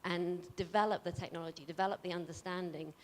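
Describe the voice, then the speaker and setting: A woman speaking earnestly to an event, deliberate, in short emphatic phrases. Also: fairly low pitch, steady tone, rough recording. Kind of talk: earnest public speech.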